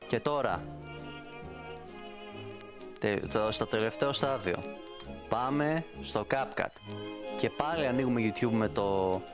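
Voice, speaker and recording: A man walks viewers through a software tutorial in a casual, playful way. His voice sits at a medium pitch and his talk rambles informally, with music clearly playing behind him. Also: lively intonation, pauses often. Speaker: voice actor giving a tutorial. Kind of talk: casual screen-recorded tutorial.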